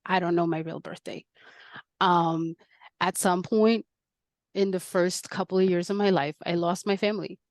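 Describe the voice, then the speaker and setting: A woman's voice: on the low side, no echo, studio quality. A woman in her forties, born in Colombia, telling her own story earnestly as a podcast guest. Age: 40s. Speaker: guest, adoptee. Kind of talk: reflective interview talk.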